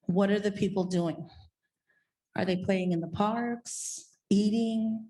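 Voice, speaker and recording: A woman guides listeners through imagined streets in a slow, unhurried rhythm. Her voice is mellow and warm, and each question is set apart from the next. She keeps the tone gentle and steady throughout. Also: mid-range voice, rough recording. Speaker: contemplation leader at a summit. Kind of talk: guided imagery prompts.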